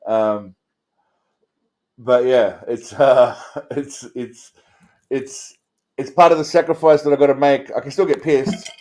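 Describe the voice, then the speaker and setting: An Australian man with a middling pitch chats off the cuff as the host of a livestream. His delivery is blokey and crude, full of swearing and rambling asides to his viewers. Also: steady tone, takes it slowly, pauses often, darkish tone. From Australia, Melbourne suburbs. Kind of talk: casual livestream chat answering viewers. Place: indoors.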